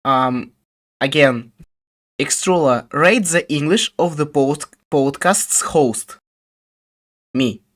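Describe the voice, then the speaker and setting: A male podcast host reads out listener questions in slow, careful English. His delivery is halting and unsure, with the pauses of someone speaking a second language. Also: a little high, a bit bright. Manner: hesitant and halting, non-native English. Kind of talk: casual podcast hosting.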